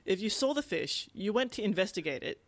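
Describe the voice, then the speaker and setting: A woman's voice: medium pitch, lively intonation, fairly quick, no echo. A woman in her thirties co-hosting a podcast in casual chat. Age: 30s. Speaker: podcast co-host.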